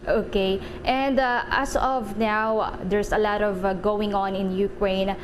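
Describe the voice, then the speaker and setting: A woman's voice: mid-range voice, fairly even intonation, takes it slowly, a little hiss or hum. A woman co-hosting, asking formal questions. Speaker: co-host.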